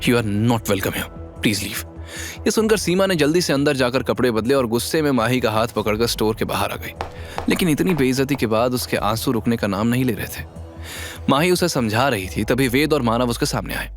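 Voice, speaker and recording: A man's voice: medium pitch, lively intonation, a little fast. A man narrating a scripted drama story with dramatic storytelling delivery, over music. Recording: music bed under voice. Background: background music.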